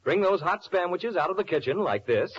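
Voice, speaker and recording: A man's voice: high-pitched, expressive, a little fast. A man reading a sponsor advertisement as a 1940s radio announcer. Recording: muffled, slightly hissy old radio broadcast.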